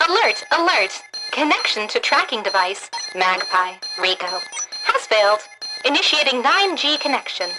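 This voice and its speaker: A woman voicing a polite, efficient automated phone assistant in an animated production. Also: fairly high pitch, normal speed, music in the background, poor audio. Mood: urgent, clipped. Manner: formal, bright announcements.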